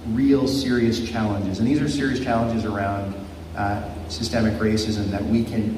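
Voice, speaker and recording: Male candidate, formal policy talk with an odd filler, recorded from a distance in an echoey hall. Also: on the low side. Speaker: election candidate and lawyer. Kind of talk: public debate answer. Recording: echoey hall from far off, noisy.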